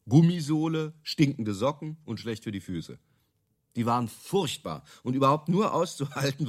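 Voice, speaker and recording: A brash marketing creative in a radio play. His delivery is highly animated, swinging up and down as he makes emphatic, self-assured pronouncements. He sounds opinionated and quick to dismiss objections. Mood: enthused pitching. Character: brash, self-assured male product-marketing creative selling gadget stories. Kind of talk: radio drama dialogue in interview form.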